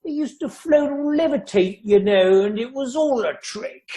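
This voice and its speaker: Man playing an arch, animated upper-class guest. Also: very high-pitched, moderate pace. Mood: sceptical. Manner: wordy, drily teasing. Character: arch upper-class country-house guest, drily mischievous. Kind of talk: radio play acting. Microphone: video call.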